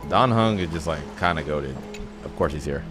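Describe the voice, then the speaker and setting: A man's voice: rumbling low, lively intonation, normal speed, music in the background. A man doing casual, slangy reaction commentary on a game story in an irreverent, teasing way. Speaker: gaming streamer reacting to a story quest.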